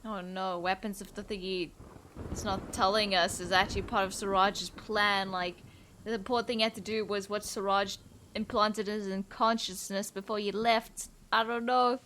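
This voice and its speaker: A woman's voice: medium pitch, fairly even intonation, normal speed, a bit bright, slight background noise. A young woman giving casual let's-play commentary, rambling informally and musing aloud as she plays. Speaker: gaming video creator. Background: game music.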